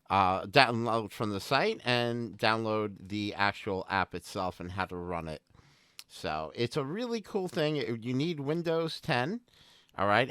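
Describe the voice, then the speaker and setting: A man's voice: lowish voice, fairly bright tone, smooth, no echo. A man hosting a tech channel, giving an informal tutorial demonstration straight to viewers and repeating his points. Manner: informal, repeats himself, addresses viewers directly. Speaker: tech and gaming channel host.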